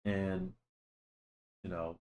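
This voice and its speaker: A young man with a lowish voice talks loosely as he draws, looping back through restarts and fillers. He has a cold and sounds a bit nasal and stuffy, recorded on a desk microphone. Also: little pitch movement, drawn-out words, a little mellow. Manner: rambling, lots of restarts and fillers. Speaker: drawing channel host. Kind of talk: casual chat while drawing.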